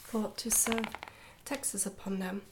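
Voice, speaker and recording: A woman reading a storybook in a soft-spoken ASMR style, slipping often into a whisper, with a gentle, hushed delivery. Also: medium pitch, steady tone, slow pace, noisy background. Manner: gentle, hushed delivery with some stumbles over words. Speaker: ASMR reader, channel host.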